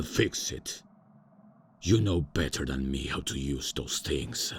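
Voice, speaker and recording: A man's voice: slight background noise, heavily acted. A man voicing an ancient, arrogant male vampire lord in an ASMR roleplay drama, speaking in a pompous, grand manner. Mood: indignant. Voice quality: very deep, gravelly, slow and pompous.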